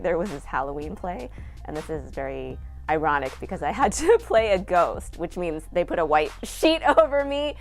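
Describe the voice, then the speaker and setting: Middle-aged woman, low voice, reflective director interview, faint music bed. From Seattle area, USA. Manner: reflective, laughs now and then while talking. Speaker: theater director. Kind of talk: documentary interview.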